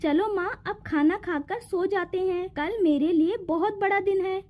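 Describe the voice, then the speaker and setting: A woman's voice: very high voice, a little slow, lo-fi sound, slight background noise. A woman voicing a kind, dutiful young fairy in a cartoon, polite and reassuring. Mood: hopeful, reassuring.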